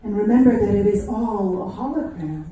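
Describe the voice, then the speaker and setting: A woman's voice: medium pitch, animated, normal speed, mellow. A woman leading a guided meditation, speaking fervently and effusively with incantatory repetition and affirmations. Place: large indoor room with a group present. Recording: very echoey room, distant mic, noisy.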